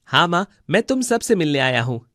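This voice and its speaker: An actor playing a falsely dutiful, scheming adult son in a cartoon moral story, speaking in a placating way. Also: male voice, a little high, very animated, moderate pace, bright, forceful tone, no echo, studio quality.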